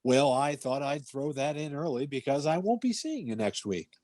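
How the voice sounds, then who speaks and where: A man's voice: medium pitch, lively intonation, moderate pace, a little mellow, no room sound, clean studio sound, natural voice. A performer playing a cheerful, glib salesman, a male family friend, breezy and chummy in old-time radio comedy style. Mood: cheery.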